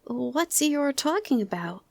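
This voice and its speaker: A woman reading a storybook, voicing a small, timid male piglet in a soft little voice. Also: high-pitched, sing-song, takes it slowly, creaky, pitched above the performer's own voice, exaggerated voice.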